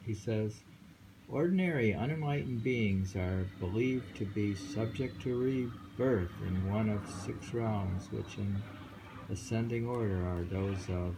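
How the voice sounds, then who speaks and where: A man's voice: fairly low pitch, gravelly, warm tone, a little hiss or hum, poor audio. A man reading poems aloud and musing on them in casual chat, with frequent thoughtful hums. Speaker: poetry reader and host.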